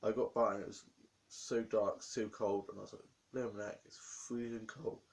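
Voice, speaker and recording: Croaky brit guy with a cold, low voice, chatty run-on vlog about his day. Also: level tone, moderate pace, darkish tone. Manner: rambling, run-on storytelling. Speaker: vlogger. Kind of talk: casual diary vlog.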